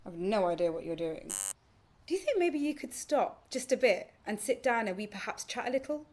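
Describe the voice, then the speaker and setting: A woman's voice: fairly high pitch, sing-song, normal speed, a little mellow. A woman playing a lonely, earnest would-be girlfriend in a naturalistic audio play, speaking tentatively and pleadingly. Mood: gently pleading. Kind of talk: naturalistic audio drama acting.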